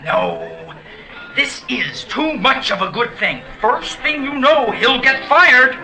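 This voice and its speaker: A man's voice: extremely high pitch, sing-song, slow pace, sharp tone, faint music behind, pitched above the performer's own voice, full character voice, low-quality recording. A man voicing a fierce, jealous little whiskered man who grumbles indignantly. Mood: exasperated.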